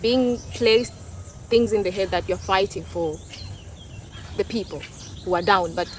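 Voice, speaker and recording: A woman's voice: medium pitch, a little slow, a little hiss or hum. A young woman from Tanzania with a Tanzanian accent, a Swahili speaker talking in English, giving her opinions in a thoughtful, casual vlog, often repeating and restarting words. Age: young adult. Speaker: vlogger.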